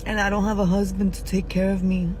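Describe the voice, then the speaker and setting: A woman in her forties speaking in a frank, halting personal video, with many fillers and pauses. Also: mid-range voice, little pitch movement, darkish tone, a little hiss or hum, low-quality recording. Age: 40s. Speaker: social media poster.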